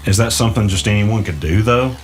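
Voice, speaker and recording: A man's voice: fairly low pitch, quick pace, slight background noise, lightly acted. An actor playing a cautious, skeptical small-town man who speaks in a plain, hesitant, questioning way in naturalistic audio drama dialogue. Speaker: character in a mystery audio drama.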